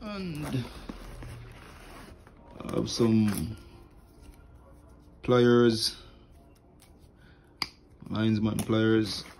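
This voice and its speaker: A young man with a low, gravelly voice talks through his tool bag in a relaxed, plain way, pausing on fillers. He records at home on a phone held close. A faint hiss sits under his voice. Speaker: HVAC technician vlogger. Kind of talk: casual tool tour walkthrough. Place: indoors at home, wooden floor. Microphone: phone camera held close, filming hands.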